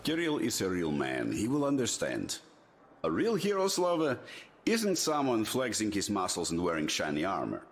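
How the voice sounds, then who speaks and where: An actor playing a middle-aged military commander in a game drama, chummy and persuasive but ruthless and used to giving orders. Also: male voice, fairly low pitch, wildly swooping pitch, moderate pace. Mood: firm, persuasive. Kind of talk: dramatic video game cutscene acting.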